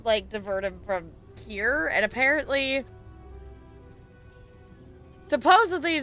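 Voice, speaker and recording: A woman's voice: fairly high pitch, sharp tone. A woman streaming a video game live, commenting expressively and playfully and reading dialogue choices aloud.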